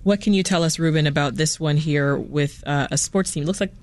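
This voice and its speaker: A woman's voice: deep voice, a little fast, a little mellow. A woman hosting a radio interview, asking questions in a conversational way.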